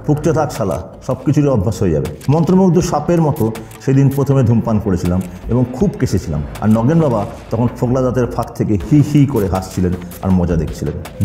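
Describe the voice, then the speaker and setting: A man's voice: mid-range voice, warm tone, roomy sound. A man narrating a scripted horror audio story in a dramatic style. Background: music.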